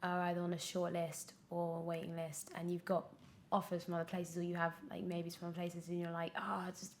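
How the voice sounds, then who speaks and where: A woman's voice: on the low side, brisk, slight background noise. Chatty young British woman. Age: young adult. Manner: chatty, animated storytelling with self-quotes and giggles. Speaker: actor, podcast guest. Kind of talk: casual interview anecdotes.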